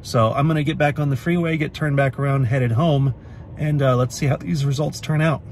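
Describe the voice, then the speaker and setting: A man's voice: medium pitch, steady tone, a little fast, a little mellow, slight background noise. Car vlogger, man, 30s, from the Pacific Northwest; plain mid voice, loose and chatty, phone mic inside a car. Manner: chatty, matter-of-fact, many uhs and restarts. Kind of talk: casual vlog talk to camera. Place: inside a parked car at night. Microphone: phone camera at arm's length.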